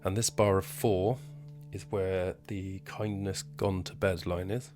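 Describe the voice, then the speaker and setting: Hoarse man teaching piano, low voice. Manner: relaxed, halting, counts beats aloud. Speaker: piano tutorial host. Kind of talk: casual piano tutorial. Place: at home, indoors. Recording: piano playing behind the voice.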